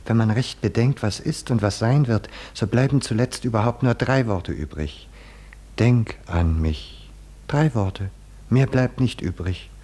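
Probing, melancholy onlooker: very low, husky, soft and deliberate, knowing, 1950s German radio drama. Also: male voice. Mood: insistent, intimate. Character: short-sighted, smiling, probing male observer, quietly insistent, melancholy.

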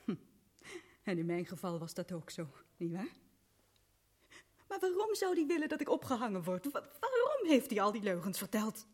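An actress playing a young woman accused of murder in a radio play, halting and pleading. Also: mid-range voice, wildly swooping pitch, darkish tone. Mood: bitter. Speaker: accused prisoner.

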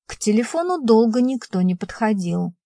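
A woman's voice: a little high, very animated, moderate pace, warm tone, dry recording. Dry-sounding woman narrating fiction. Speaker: audiobook narrator. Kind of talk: scripted first-person fiction narration.

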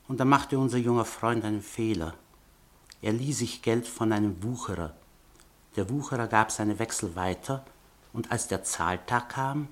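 A man's voice: mid-range voice, a bit bright, natural voice. An actor playing a sly, mocking male informant who blackmails with oily politeness in a radio play. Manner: oily, mocking politeness, tells threats as a fairy tale.

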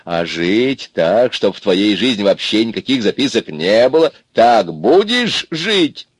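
A man's voice: on the low side, big pitch swings, unhurried, husky, dry recording, heavily acted. A man voicing a domineering, blustering middle-sized bully of an interrogator in a story, barking and lecturing insistently. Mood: exasperated.

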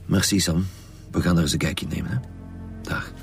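A man's voice: sits low, fairly even intonation, normal speed, vocal fry. A man with a Flemish accent acting a blunt, sarcastic, insistent chief detective in a radio drama. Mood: businesslike. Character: blunt, pressing male chief detective interrogating suspects. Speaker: lead police investigator. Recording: music behind the voice.

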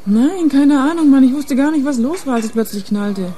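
A woman's voice: high voice, animated, normal speed, warm tone, slight background noise. An actress playing a streetwise nineteen-year-old club girl turned informant in a radio crime drama, slangy and blunt. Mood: anxious.

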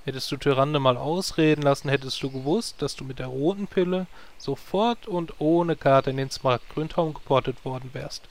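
A man's voice: mid-range voice, moderate pace, hardly any pauses, close to an everyday voice. An actor playing a weary, sarcastic male guildmate. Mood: smug.

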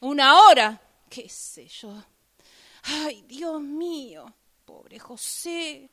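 A woman plays a restless, exasperated passenger in a radio monologue. She speaks slowly, in a bright voice pitched a little high. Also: heavily acted. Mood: exasperated, anxious. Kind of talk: amateur radio theatre monologue.

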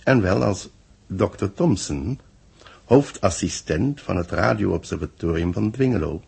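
Brief: deliberate space official, low and very husky, measured delivery, vintage radio play. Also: male voice, animated, darkish tone, heavily acted. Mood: earnest, explaining. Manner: measured, formal, expository. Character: grave, deliberate male space research official. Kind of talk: 1960s radio drama acting.

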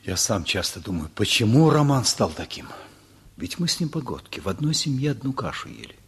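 An actor playing a gentle, thoughtful middle-aged husband with a husky voice in a radio play. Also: male voice, medium pitch, very animated, a little fast, heavily acted. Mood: pensive.

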